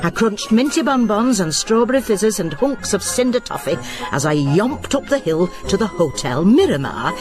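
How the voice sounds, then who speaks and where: A woman's voice: mid-range voice, normal speed, hardly any pauses, over music, lightly acted. A woman acting a nosy, gossipy guesthouse landlady who narrates her own story in a radio drama. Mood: brooding. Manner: lively, gossipy, laughs easily. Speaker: narrator and lead character.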